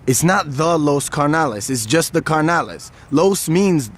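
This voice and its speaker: An actor playing a calm, strategic gang planner who speaks in a dry, sarcastic, deliberate way in video game cutscenes. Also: male voice, fairly high pitch.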